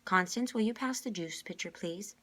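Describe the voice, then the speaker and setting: A woman voicing a courteous, gentle young woman in a storybook, measured and reassuring. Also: mid-range voice, voice pushed up, natural voice.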